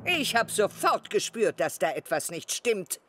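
Feisty cartoon grandma, very animated. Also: female voice, medium pitch, unhurried. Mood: indignant. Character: proud, feisty, sporty grandmother. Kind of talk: German cartoon dub. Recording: loud music underneath. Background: music.

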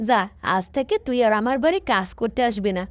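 Woman acting haughty, high-pitched rich mistress. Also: lively intonation, brisk, dry recording, natural voice. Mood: angry, contemptuous. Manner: sneering, domineering, insulting. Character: haughty, spiteful rich lady scolding her maid. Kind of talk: cartoon dialogue. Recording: faint noise, birdsong behind.

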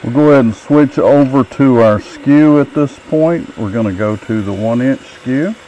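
A middle-aged man with a calm, plain-spoken manner, teaching woodturning step by step in his home workshop. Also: medium pitch, moderate pace, muffled recording. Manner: calm, plain-spoken, thinks aloud while working. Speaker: woodturning instructor. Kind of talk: relaxed step-by-step workshop narration. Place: home woodworking shop with a running lathe. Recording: workshop with clear lathe and tool noise.

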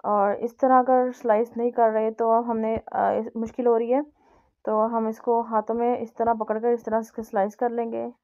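Female home cooking host. Fairly even intonation, repetitive instructional delivery, muffled lo-fi sound. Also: a little high, moderate pace. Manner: explains step by step, repeating instructions. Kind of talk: instructional recipe narration. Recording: dull, lo-fi home recording.

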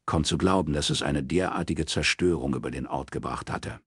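A man's voice: a bass voice, fairly quick, a bit bright, slightly rough, no room sound, studio quality. A man reading scripted crime fiction as an audiobook narrator.

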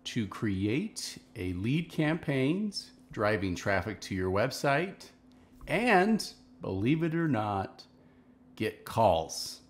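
Guy in his 30s, pretty deep voice, walking you through software steps, casual tutorial style. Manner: instructional, step-by-step. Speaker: marketing tutorial host. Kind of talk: casual screen-share tutorial narration. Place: small home office, indoors.

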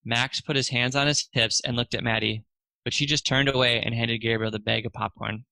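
A man's voice: mid-range voice, fairly even intonation, a little fast, vocal fry, sharp tone, dry recording, poor audio. A man narrating a children's storybook aloud, expressively lifting his voice for the dialogue.

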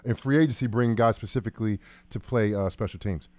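A man's voice: fairly low pitch, fast talker, a little mellow, no echo. An American man in his thirties, a former player co-hosting casual sports talk on a close studio microphone. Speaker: co-host, former football player. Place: small indoor studio. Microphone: close broadcast boom microphone.

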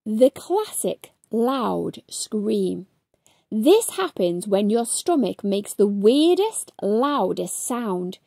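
A woman reading a storybook aloud to children, with theatrical, drawn-out emphasis on key words. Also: mid-range voice, very animated, vocal fry, mellow, dry recording, clean studio sound. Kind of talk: expressive read-aloud narration for children.

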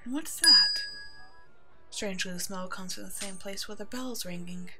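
A woman playing a kind, protective werewolf in an ASMR roleplay drama, speaking gently and reassuringly as if to a child. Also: mid-range voice, normal speed, faint music behind. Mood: curious, wary. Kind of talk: ASMR roleplay audio drama, one-sided dialogue.

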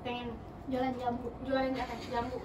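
A woman's voice: on the high side, monotone. A young woman in her twenties, playful and giggly, hosting a casual joking chat while sitting on a floor. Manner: playful, giggly, quick banter. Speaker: host. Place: small room with foam panels, sitting on floor. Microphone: far camera. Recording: echoey room from far off, noisy.

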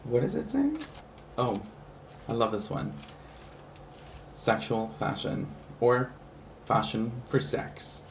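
A man's voice: medium pitch, wildly swooping pitch, takes it slowly, gravelly, pauses often, a little hiss or hum, rough recording. Casting: male talk show host, thirties, informal and conversational. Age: 30s. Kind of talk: casual chat and talk to viewers.

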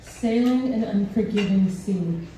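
A young woman performing spoken word poetry on a small indoor stage, reading slowly and steadily from a book at a stand mic. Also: mid-range voice, noisy background. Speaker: poet. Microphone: stand mic, filmed from the audience. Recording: echoey, distant, dull venue recording.

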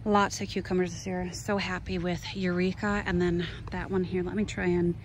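A woman's voice: lowish voice, level tone, normal speed. Chatty woman, noisy outdoor recording. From Minnesota, United States. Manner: chatty, wandering, exclamatory asides. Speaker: gardening vlogger. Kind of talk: casual, unscripted garden tour chat. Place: outdoors in a garden. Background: clear outdoor ambient noise.

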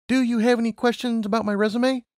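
A man's voice: extremely high pitch, level tone, fast, dry recording, studio quality, voice pushed up. A man voicing an earnest, anxious young female unicorn who wants to be a pilot, speaking insistently in a narrated story. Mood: firm, polite. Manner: insistent, pleading.

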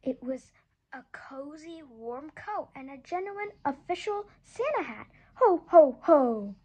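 A woman reading a children's picture book aloud, gentle and expressive, slowing down for the story and putting on small voices for the animals. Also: high voice. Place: indoors at a table, turning a picture book.

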